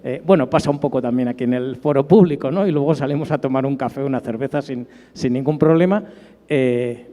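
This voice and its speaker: Mellow Spanish man in a reverberant hall. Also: medium pitch, a little fast. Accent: Spain. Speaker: conference panelist. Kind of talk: informal personal recollection on a panel.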